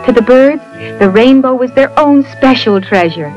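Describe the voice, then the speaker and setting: A woman narrating a scripted children's fairy tale in an expressive storytelling manner. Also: sits high, normal speed. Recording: muffled lo-fi audio, faint music behind.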